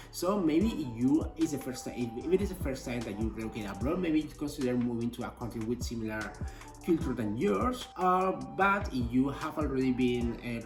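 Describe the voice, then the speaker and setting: A man who grew up in Spain speaks English, giving upbeat, emphatic advice in an informational vlog. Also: medium pitch. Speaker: expat vlogger. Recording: echoey room, distant mic, faint music.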